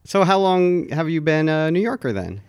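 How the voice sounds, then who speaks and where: A man hosting an interview podcast, chatting in a relaxed way with frequent fillers and restarts. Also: on the high side, smooth, no echo, clean studio sound. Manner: conversational, halting with fillers. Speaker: podcast host. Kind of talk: casual interview.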